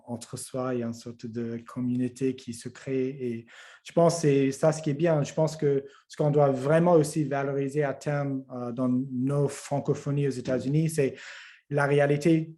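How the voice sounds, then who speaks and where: A man's voice: mid-range voice, mellow. A thoughtful man speaking as a panelist in a casual discussion over a video call.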